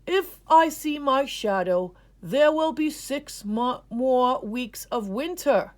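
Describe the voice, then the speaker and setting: A woman voicing an elderly grandfather groundhog in a children's storybook, a proud, folksy announcer proclaiming slowly and loudly. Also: sits high, lively intonation, pitched above the performer's own voice. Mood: grand, announcing. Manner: slow, loud, proclaiming. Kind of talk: children's storybook read-aloud.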